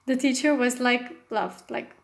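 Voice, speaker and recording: Flat-toned woman from Romania, rambling. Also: on the high side, unhurried, gravelly. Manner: rambling, with frequent fillers and self-corrections; non-native English phrasing. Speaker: astrologer. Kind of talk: casual personal monologue.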